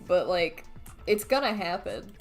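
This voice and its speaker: Casual female let's play host, medium pitch, light music underneath. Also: expressive, a little slow, gravelly. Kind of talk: casual gaming commentary.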